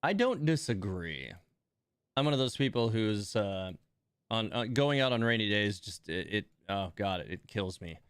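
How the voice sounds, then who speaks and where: A man hosting a game livestream, reacting casually in a rambling, hesitant way with drawn-out emphasis. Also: on the low side, sing-song, smooth, no echo, studio quality. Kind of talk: casual live commentary reacting to game voice lines.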